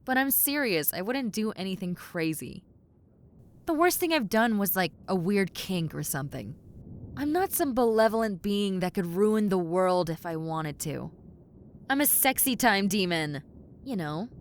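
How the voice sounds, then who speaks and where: Centuries-old demon woman for audio roleplay, played by a woman: tomboyish succubus, exasperated yet kind, casual delivery, very animated intonation. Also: mid-range voice, measured pace, a little hiss or hum. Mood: teasing, defensive. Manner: casual, wry, flustered, hesitant stammering. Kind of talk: audio roleplay acting, spoken to the listener.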